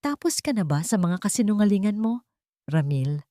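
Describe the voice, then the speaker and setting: A woman voicing a calm, steadfast young woman who quietly challenges her accusers in an audio story. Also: mid-range voice, normal speed, no echo, studio quality, close to an everyday voice. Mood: calm defiance.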